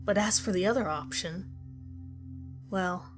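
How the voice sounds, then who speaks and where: A woman's voice: mid-range voice, unhurried, natural voice. A woman voicing a grim survivor who gives stern, ominous warnings in a scripted horror story narration. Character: grim woman survivor narrating deadly rules of a monster house. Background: music.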